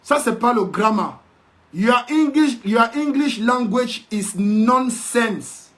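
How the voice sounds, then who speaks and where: A man's voice: very high-pitched, lively intonation, slow, a bit bright. A man with a Cameroonian French accent ranting sarcastically in a video commentary, indignant and preachy, sometimes slipping into English to mock. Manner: mixes in English mockingly.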